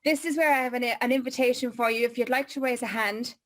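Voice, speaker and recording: A woman's voice: a little high, fairly even intonation, quick pace, a bit bright. A woman hosting an online meeting, upbeat and encouraging as she hands over to questioners. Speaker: host. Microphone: video call.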